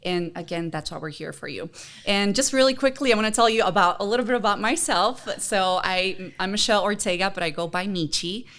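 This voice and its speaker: A woman's voice: medium pitch, fairly quick, a bit bright. A woman from Honduras co-hosting a podcast, chatting casually and personally in an earnest, encouraging manner. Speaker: podcast co-host.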